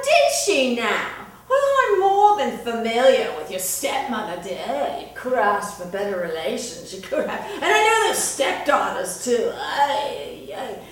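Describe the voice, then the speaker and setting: A woman voicing a fearsome, gloating old witch from a folktale, sly and fond of tagging phrases onto her sentences. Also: mid-range voice. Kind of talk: dramatic folktale storytelling. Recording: very echoey room, distant mic.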